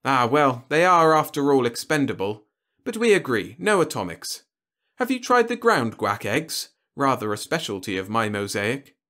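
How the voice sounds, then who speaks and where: A man's voice: medium pitch, very animated, normal speed, pushed and bright, voice pushed up. A man voicing a genteel, pompous alien warlord in an audiobook, with fussy, over-polite, mannered speech. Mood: polite, blithe. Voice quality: scratchy. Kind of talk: audiobook character dialogue.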